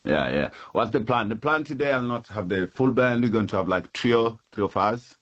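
A man's voice: medium pitch, expressive, moderate pace, highs cut off. A man from Tanzania, a musician interviewed on radio, talking in a hesitant, friendly way. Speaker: musician, guest.

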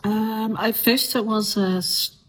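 A middle-aged woman from New Zealand, a teacher, answering radio interview questions in a measured way with frequent ums and uhs. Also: on the high side, fairly even intonation.

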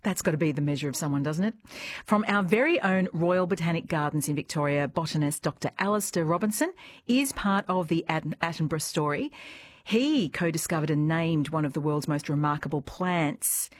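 Low-voiced Australian woman hosting radio. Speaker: radio host. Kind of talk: live radio interview, conversational.

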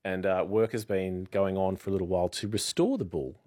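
Chatty man, low voice, dry studio. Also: fairly quick, warm tone, no echo. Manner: chatty, playful storytelling with frequent ums. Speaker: radio news presenter. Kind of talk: casual radio banter and light news. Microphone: studio microphone.

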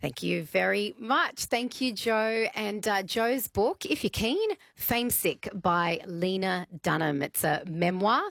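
A woman co-hosting a radio show, chatting in a lively way and reading out listener texts, with lots of fillers. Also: medium pitch, takes it slowly, gravelly, few pauses, dry recording. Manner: lively, animated storytelling with many fillers. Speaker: radio co-host. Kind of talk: casual radio chat.

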